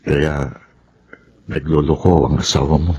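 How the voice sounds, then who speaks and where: A man's voice: a bass voice, flat delivery, unhurried, dark tone, breathy edge, exaggerated voice. An actor playing Egay, a young man home from America, speaking in an earnest, persuasive way. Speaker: Egay, friend of Abi. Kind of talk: Tagalog drama acting. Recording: dull, muffled sound.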